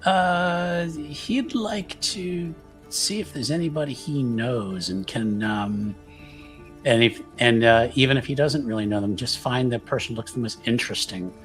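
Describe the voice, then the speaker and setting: A man's voice: mid-range voice, a little slow, soft background music. A man playing a tabletop game, talking casually and tentatively as he thinks aloud about his move.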